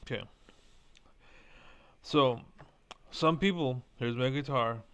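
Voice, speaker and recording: A man's voice: mid-range voice, slow, a bit bright, clear, resonant tone. A young man with Spanish as his first language, rambling bluntly in a casual monologue and swearing now and then. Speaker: vlogger.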